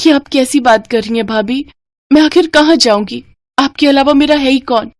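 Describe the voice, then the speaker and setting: A woman voicing a gentle, humble young sister in a cartoon moral story, with a plaintive, pleading manner. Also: high-pitched, moderate pace, no echo, clean studio sound, voice pushed up, natural voice. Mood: hurt pleading. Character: grieving, gentle young sister-in-law, humble coconut water seller.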